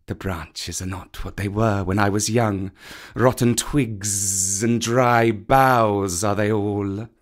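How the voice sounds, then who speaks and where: An actor reading a storybook and voicing a wary, proud old male python with a slow, courteous, hissing delivery. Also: on the low side, steady tone, voice pushed down.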